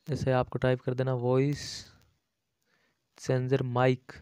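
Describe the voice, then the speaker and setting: A man's voice: mid-range voice, monotone, slow, a little mellow, clear, resonant tone, no echo, studio quality. A man giving a casual, repetitive step-by-step app tutorial as a voice-over, addressing viewers as friends. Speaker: tech tutorial YouTube host.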